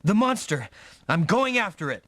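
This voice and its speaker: Young rookie mech pilot, dogged and exclamatory, lively higher-set voice, anime video game. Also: male voice, moderate pace, no echo, heavily acted. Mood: urgent, agitated. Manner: dramatic, exclamatory delivery, thinking aloud. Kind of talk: anime-style English video game voice acting.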